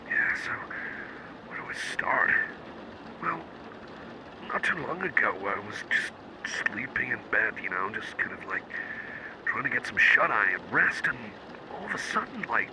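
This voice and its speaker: A man's voice: medium pitch, sing-song, measured pace, vocal fry, long pauses, heavy background noise. A man playing a shy, nervous cyborg friend in an ASMR roleplay, rambling hesitantly and apologetically as if chatting one-on-one. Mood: bashful, rambling. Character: shy, nervous young male cyborg chum, rambling and awkward. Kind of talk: ASMR roleplay, intimate one-sided chat.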